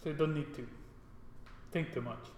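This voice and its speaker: A man from Mexico teaches informally in a classroom, his voice a little on the high side. He talks casually and often tags his points with a quick check for agreement. The room rings with echo and long reverb, with faint noise behind him. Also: fairly even intonation. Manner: casual, often seeks agreement with tag questions. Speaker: programming instructor. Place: indoor classroom.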